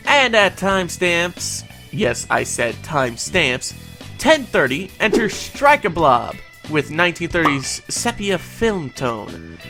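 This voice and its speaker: A woman's voice: lowish voice, big pitch swings, takes it slowly, music in the background. A woman co-hosting a fan recap, giving semi-scripted episode commentary in an emphatic, theatrical way.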